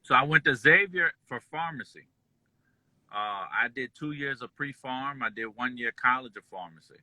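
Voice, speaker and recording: A man born in Kuwait and raised in Detroit, telling his life story as an interview guest in a relaxed, rambling way full of fillers. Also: medium pitch, normal speed, dry recording, low-quality recording. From born in Kuwait, raised in Detroit and New Orleans. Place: at home, just pulled into driveway.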